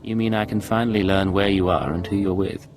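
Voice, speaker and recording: A cool, controlled secret agent from a sci-fi game. His voice sits very low with a gravelly creak, and he delivers his lines with dry, sardonic wit. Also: steady tone, fairly quick. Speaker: voice actor. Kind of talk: dramatic sci-fi game dialogue.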